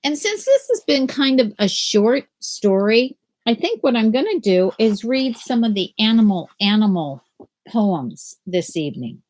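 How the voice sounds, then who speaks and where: Older lady reading to little kids over a webcam, slow and bouncy, kinda high voice. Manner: slow, gentle and animated, like reading to young children. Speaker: school principal reading to students. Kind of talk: children's read-aloud. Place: small room at home. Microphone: laptop webcam at desk.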